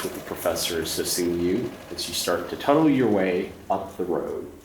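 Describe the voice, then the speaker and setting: A man narrating a horror tabletop game as game master, dramatic and suspenseful, with repeated phrases. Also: sits low. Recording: roomy, distant mic, faint noise.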